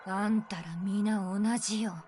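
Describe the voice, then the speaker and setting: A woman's voice: mid-range voice, little pitch movement, a little fast, darkish tone, a little hiss or hum, lightly acted. A woman voice-acting a hostile, scornful young woman in a Japanese visual novel, taunting and contemptuous. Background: game music.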